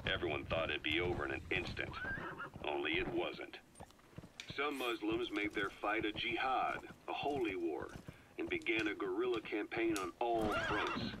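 A man voicing a grave veteran spy who delivers a deliberate, weighty scripted briefing in a video game. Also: sits low, noisy background. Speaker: intelligence briefer on an audio tape. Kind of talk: dramatic scripted briefing narration. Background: horse hooves and game ambience.

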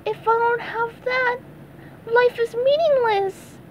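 Lady putting on a high squeaky sponge guy, eager, kinda soft-hearted, storybook read-aloud. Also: fairly even intonation, slow pace, slight background noise. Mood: heartbroken. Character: cheerful young male sea sponge, eager and sensitive.

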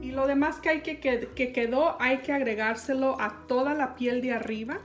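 A woman hosting a home cooking show, calmly walking viewers through each step. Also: high voice, normal speed, faint music behind. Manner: calm step-by-step instruction, addressing viewers.

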